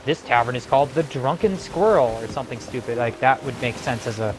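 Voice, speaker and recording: Male game-stream co-host, average pitch, casual explainer with halting phrasing, soft music underneath. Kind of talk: casual game commentary and chat.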